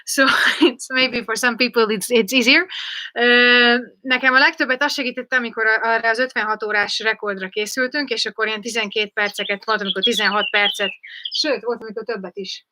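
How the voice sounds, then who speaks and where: A woman's voice: a little high, steady tone, a little fast, pushed and bright. A woman teaching a live online jump rope class, chatty and often checking in with "okay?". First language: Hungarian.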